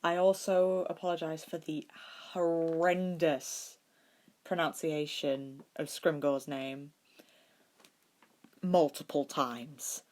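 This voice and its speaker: Woman, low pitch, amateur audiobook narrator, fan fiction reading. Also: fairly even intonation, slow, a little mellow. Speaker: podfic narrator.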